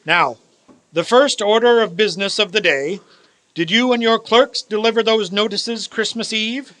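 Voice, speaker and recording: Man playing a high, bright-voiced old reformed miser. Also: normal speed. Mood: mock stern. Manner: theatrical, formal, emphatic. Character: elderly reformed miser uncle and boss, grandly merry. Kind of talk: radio play acting.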